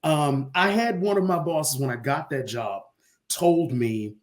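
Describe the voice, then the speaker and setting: A man's voice: fairly high pitch, measured pace. A man, an arena announcer, telling casual stories as an interview guest and acting out bits of dialogue. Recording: roomy echo on the voice.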